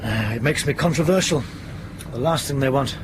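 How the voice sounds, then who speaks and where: An actor playing a dry, principled airline captain in command of a ship, brisk and authoritative, in a radio drama. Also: male voice, medium pitch, noisy background, close to an everyday voice. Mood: dry, wry.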